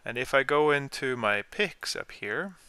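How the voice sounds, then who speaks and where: A man explaining software step by step in an informal screen-share tutorial, as the trainer. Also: medium pitch, expressive, measured pace, clean tone, no echo.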